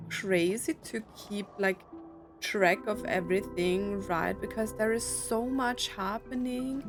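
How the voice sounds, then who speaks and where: A woman giving casual let's play commentary, rambling as she thinks aloud about a story game. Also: fairly high pitch. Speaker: streamer playing a story game.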